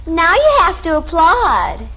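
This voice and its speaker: A dutiful, plaintive schoolgirl for vintage radio; tiny high voice, animated childlike recitation, dull recording. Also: slow pace, heavily acted. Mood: earnest, plaintive. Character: earnest nine-year-old schoolgirl, dutiful but stubborn. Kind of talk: 1950s radio family comedy acting. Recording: muffled old radio recording, faint noise.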